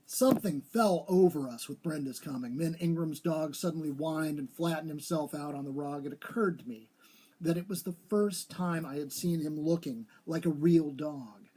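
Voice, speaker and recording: A man giving a live, expressive reading of an old literary ghost story, with a husky voice. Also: on the high side, moderate pace, poor audio. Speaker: story reader.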